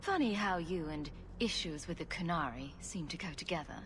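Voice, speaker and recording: Wry, lowish-voiced sarcastic female rogue. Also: lively intonation, brisk, a bit bright. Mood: sardonic, challenging. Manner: sardonic, teasing, challenging. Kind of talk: video game cutscene acting. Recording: clear background ambient noise.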